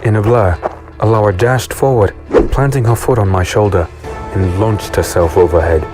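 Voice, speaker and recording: A man's voice: lowish voice, moderate pace, natural voice. A man playing an easygoing, teasing swordsman hero in an audio drama, speaking and narrating in a wry, conversational way. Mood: wry, relaxed. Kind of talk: audio drama dialogue and first-person narration. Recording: music bed behind the voice.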